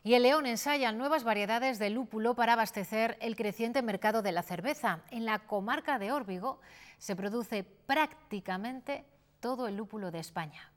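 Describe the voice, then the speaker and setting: A woman's voice: fairly high pitch, normal speed. A woman with a Castilian Spanish accent presenting the news in a scripted, formal reading style.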